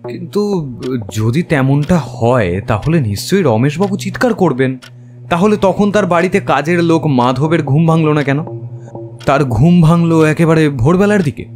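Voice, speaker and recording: A man's voice: on the high side, animated, normal speed, darkish tone, soft background music, natural voice. A man playing a detective's earnest, easily astonished companion in an audio drama, speaking in an emotive, questioning way. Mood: puzzled. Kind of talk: dramatised audio story, narration and dialogue.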